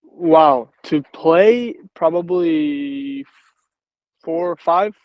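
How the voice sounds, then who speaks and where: A man's voice: on the high side, extremely slow, no room sound, dull audio. A man in his twenties from Venezuela, reflecting easily on his football career as an interview guest. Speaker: professional football player, guest. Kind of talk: casual interview.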